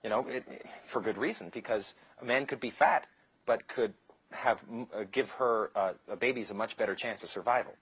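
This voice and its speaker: A man lectures in a slightly husky voice, stopping often and restarting his sentences. The sound is dry and close, with hardly any room in it. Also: fairly low pitch, normal speed, fairly bright tone, no room sound, low-quality recording. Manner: hesitant, many fillers and restarts. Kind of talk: explanatory lecture.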